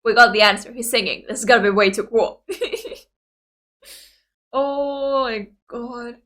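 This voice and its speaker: A young woman whose first language is Greek chats casually and excitedly, her voice sitting a bit high. She fills her talk with casual fillers and restarts as she reacts. She talks like a video host addressing viewers. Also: a little slow, soft background music. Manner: chatty, excitable, many fillers.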